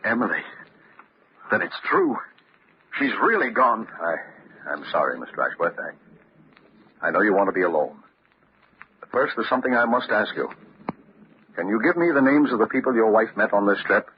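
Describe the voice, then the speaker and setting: An actor playing a formal, grave and courteous gentleman in an old-time radio crime drama. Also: lowish voice, animated, moderate pace, long pauses, close to an everyday voice. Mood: grave, solemn. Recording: dull old radio recording, faint noise.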